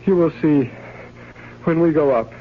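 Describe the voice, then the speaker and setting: A male actor plays a guilt-ridden gentleman in a vintage radio play. His voice is strikingly high, and he pleads and commands in formal, stammering speech. The old recording is dull and slightly noisy. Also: measured pace, lightly acted. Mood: pleading, urgent. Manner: agitated stammering, formal theatrical diction. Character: desperate, guilt-ridden gentleman confessing murder, pleading and imperious. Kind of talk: old-time radio drama acting.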